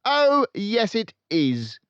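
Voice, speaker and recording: A man's voice: sits high, huge pitch swings, extremely slow, a bit bright, smooth, no room sound, highs cut off, voice pushed up, heavily acted. A man voicing a tyrannical, bullying headmistress who barks and bellows in fury, in a children's storybook reading. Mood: outraged. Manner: barking, bellowing, snorting contempt. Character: tyrannical, furious, bullying headmistress, a huge middle-aged woman. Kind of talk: children's audiobook character voice.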